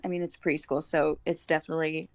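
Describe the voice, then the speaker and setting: Woman on a podcast just chatting, kinda low voice, dry close sound, informal and a bit hesitant. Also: level tone, quick pace, gravelly, no echo. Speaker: podcast guest, homeschooling mother. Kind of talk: casual chat.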